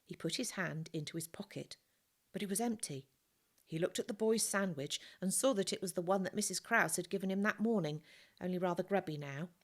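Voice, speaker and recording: Calm woman reading a story aloud. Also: lowish voice, fairly quick.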